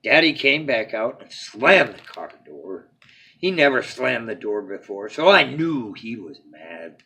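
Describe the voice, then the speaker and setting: A man reading a children's storybook aloud as a bedtime story, with dramatic phrasing that opens emphatically and falls to a hush at phrase ends. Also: medium pitch, very animated, measured pace, husky.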